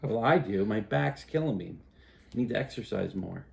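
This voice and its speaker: A man in his fifties, a father explaining things in a calm, casual chat at home, pausing and restarting as he thinks. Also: fairly low pitch, vocal fry, lo-fi sound. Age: 50s. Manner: thoughtful, halting, many restarts and fillers. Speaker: parent.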